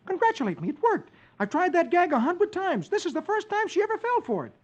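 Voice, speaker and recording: A man voicing a whiny, hammy, lazy suburban husband from a comic strip in a strained squeaky falsetto, in 1950s radio style. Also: huge pitch swings, a little fast, noisy background, exaggerated voice. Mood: gleeful. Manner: exaggerated moaning and exclaiming. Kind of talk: 1950s radio funnies reading. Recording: muffled old radio recording.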